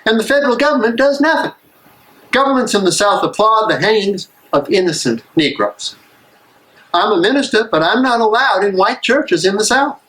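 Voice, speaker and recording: A man acting an earnest, weary American minister of the 1930s in a live dramatic reading, speaking emphatically and deliberately. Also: high-pitched, expressive, a bit bright, rough recording. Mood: aggrieved, earnest. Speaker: actor in a dramatic play reading.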